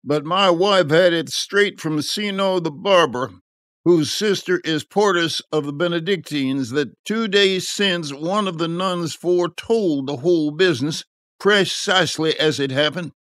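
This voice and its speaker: A man's voice: a little high, a little slow, fairly bright tone, dry recording, voice pushed up. A man voicing a gossipy, credulous, self-important townsman in an audiobook, earnest and insistent. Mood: earnest gossip. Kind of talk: audiobook character acting.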